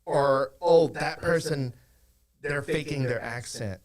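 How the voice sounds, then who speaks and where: A man from South Louisiana hosting a podcast, talking casually in a rambling, halting way. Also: a little high. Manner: rambling, halting talk with restarts. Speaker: podcast host.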